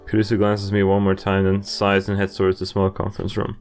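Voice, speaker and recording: A man's voice: fairly low pitch, completely flat delivery, quick pace, darkish tone, faint music behind. A man in his twenties hosting a playthrough, reading game narration aloud with casual asides. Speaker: gaming video host. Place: indoor room, webcam in corner.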